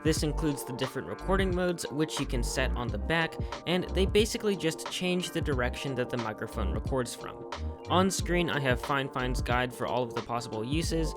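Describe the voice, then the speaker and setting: A young man narrating a scripted product review voiceover, speaking close to a desk microphone in a clear, explanatory way. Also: mid-range voice, expressive, moderate pace, over music. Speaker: YouTube hobbyist reviewer. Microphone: close USB condenser microphone.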